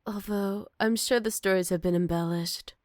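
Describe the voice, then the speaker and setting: Woman voicing a gentle motherly werewolf, close and dry. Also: medium pitch, fairly even intonation, no room sound, close to an everyday voice. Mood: wry, reflective. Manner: soft, caring, unhurried, slipping into whispers. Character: gentle motherly older female werewolf, protective and wistful. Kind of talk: ASMR roleplay, intimate one-sided dialogue to listener.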